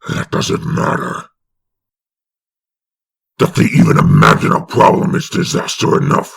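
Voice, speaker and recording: A man with a very gravelly, breathy rasp giving dramatic scripted narration of a comic book. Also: measured pace.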